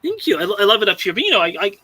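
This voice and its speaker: A man's voice: sits high, big pitch swings, very fast, pushed and bright. A young man from Southern California chatting casually on a live stream, rambling with many restarts and fillers. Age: young adult. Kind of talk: casual chat.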